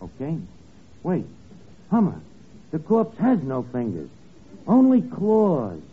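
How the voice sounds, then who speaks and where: High-voiced slangy detective's sidekick on tinny radio. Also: male voice, huge pitch swings, slow, slightly rough, exaggerated voice. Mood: eager. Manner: folksy slangy speech, mock-dramatic. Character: wisecracking tavern man playing earnest detective's sidekick and narrator. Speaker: comedy show lead, narrator. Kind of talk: 1950s radio comedy acting. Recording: tinny, noisy old radio recording.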